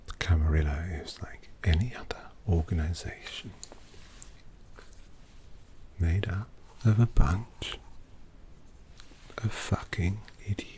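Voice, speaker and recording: A man voicing a sardonic old male vampire painter who drawls intimately in a gravelly whisper, as an ASMR roleplay. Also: low voice, slow pace, pauses often, dark tone, a little hiss or hum. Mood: snide whisper.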